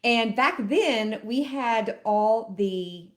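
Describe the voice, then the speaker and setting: A woman's voice: a little high, extremely slow. A middle-aged woman, a loan officer chatting to camera and explaining things step by step, a bit sniffly from allergies. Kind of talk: casual educational talk to camera.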